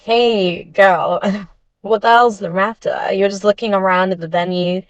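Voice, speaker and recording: A woman playing a confident, supportive teenage girl model in an animated school drama. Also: mid-range voice, unhurried, lo-fi sound, soft background music, close to an everyday voice. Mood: reassuring. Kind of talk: animated game-series dialogue.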